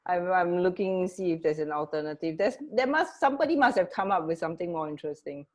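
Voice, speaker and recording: A woman's voice: lowish voice, animated, fast. A woman co-hosting a radio show in casual, unscripted chat, feeling her way to words as she talks. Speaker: radio co-host.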